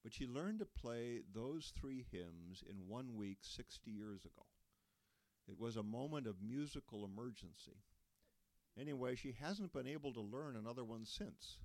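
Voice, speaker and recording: A man's voice: medium pitch, expressive, normal speed, a little mellow, dry recording, lightly acted. A man reading an earnest young man from Texas.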